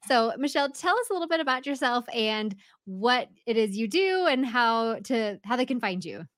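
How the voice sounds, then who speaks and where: A woman from the United States hosting a casual interview, friendly and quick to laugh. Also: on the high side, lively intonation, fairly bright tone, no echo, clean studio sound. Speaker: host.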